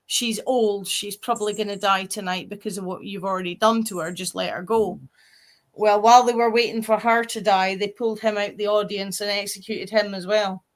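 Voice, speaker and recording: A woman co-hosting a casual podcast, retelling a dark story in an animated way. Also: medium pitch. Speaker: podcast co-host. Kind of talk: casual chat.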